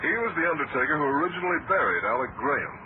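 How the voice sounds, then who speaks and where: A man's voice: on the high side, lively intonation, very fast, close to an everyday voice. Probing detective played by a man, tinny noisy radio. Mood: explanatory. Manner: pointed, methodical questioning. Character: probing, authoritative male detective running lie-detector tests. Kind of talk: old-time radio drama acting. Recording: muffled narrow-band vintage radio, loud noise.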